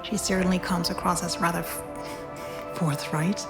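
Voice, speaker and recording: Hospital professional played by a woman: polite, tactful, lowish female voice, game drama, clear music bed. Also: steady tone, moderate pace, natural voice. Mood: tactful, admiring. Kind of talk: dramatic video game dialogue. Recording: music behind the voice.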